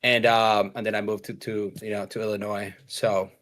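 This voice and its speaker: A man's voice: on the low side, little pitch movement, normal speed, a bit bright. A young man from Mexico, a podcast guest talking casually on a video call, rambling with many fillers and restarts. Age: young adult. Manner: rambling, laid-back, lots of fillers and restarts. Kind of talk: casual chat.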